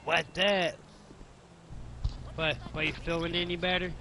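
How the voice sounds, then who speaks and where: Stammering bright-voiced young gamer man. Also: a little high, flat delivery, measured pace, slight background noise. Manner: stammering, repeats his words. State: sniffles. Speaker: gamer recording gameplay. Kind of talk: casual chat.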